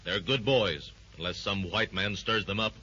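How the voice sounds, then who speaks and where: An actor playing a stern, commanding jungle hero in a 1930s radio serial. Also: male voice, fairly low pitch, moderate pace, natural voice. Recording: dull old radio recording with faint hiss.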